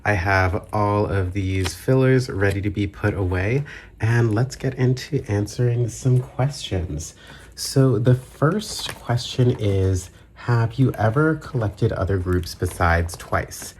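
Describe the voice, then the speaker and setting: A man's voice: lowish voice, slow pace, slight background noise. A man chatting informally as the host of a hobby vlog, his voice affected by the tail end of a cold. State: getting over a cold. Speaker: YouTube channel host, collector.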